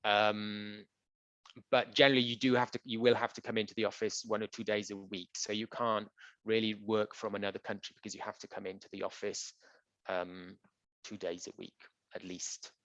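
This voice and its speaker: A man who works as a translator, answering audience questions in a relaxed, conversational way with frequent ums and uhs. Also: lowish voice, moderate pace, no echo. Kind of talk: conversational Q&A presentation. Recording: dull, dry lo-fi audio.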